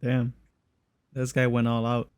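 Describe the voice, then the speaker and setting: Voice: mid-range voice, monotone, unhurried, a little mellow, clear, resonant tone, dry recording. A game streamer giving casual, sardonic playthrough commentary with plenty of informal swearing. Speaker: game streamer reacting to a story quest.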